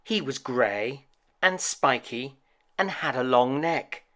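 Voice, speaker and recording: A man narrates a children's picture story in an expressive storyteller's manner. He takes his time, and his pitch moves around in a lively way from phrase to phrase. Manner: expressive, deliberate read-aloud delivery. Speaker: storyteller reading a picture book aloud.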